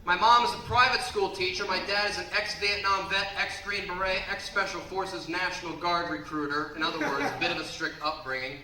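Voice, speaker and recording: A young stand-up comedian from the Baltimore area works a club crowd with a brash, punchy delivery, his voice sitting a little high. The recording is roomy and distant, picked up from across the stage with a lot of noise. He shouts now and then. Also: fairly even intonation, a little fast, few pauses. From Baltimore area, Maryland. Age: 20s. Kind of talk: stand-up set.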